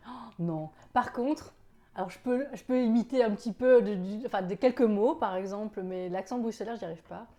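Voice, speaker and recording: A woman in her forties with a softened Parisian French accent, a chatty, animated guest in a casual interview who laughs often. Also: medium pitch, fairly quick, lo-fi sound. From Paris suburbs, France.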